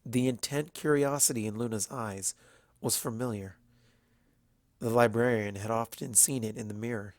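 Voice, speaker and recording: Measured male storyteller, dry close sound. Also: medium pitch, fairly even intonation, no echo. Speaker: narrator. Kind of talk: scripted dramatic fiction narration.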